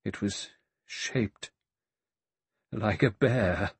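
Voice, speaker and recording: Man voicing a frightened, urgent woman, husky. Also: fairly low pitch, drawn-out words, no room sound, heavily acted. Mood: dull, shaken. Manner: urgent, anxious pleading. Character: frightened young woman, desperate and vehement.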